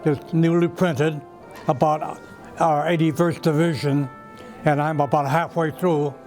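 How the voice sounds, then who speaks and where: An older man, a war veteran, recalling hardship in a steady, earnest way. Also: a little high, a little slow, faint music behind. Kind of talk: casual reminiscing.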